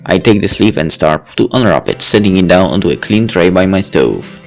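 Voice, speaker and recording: A man's voice: deep voice, lively intonation, a little fast, soft background music. A young man reading a visual novel aloud, adding wry, chatty asides. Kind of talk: reading aloud with off-the-cuff comments.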